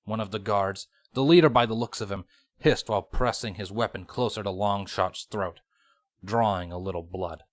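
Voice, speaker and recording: A man's voice: fairly low pitch, moderate pace. A man reading fan fiction as scripted audiobook narration, in a dramatic storytelling manner.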